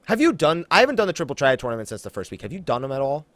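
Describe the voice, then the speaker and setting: Animated man hosting a gaming podcast. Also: a little high, racing, no room sound. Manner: animated, teasing banter with co-host. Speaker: podcast host. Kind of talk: casual gaming podcast chat.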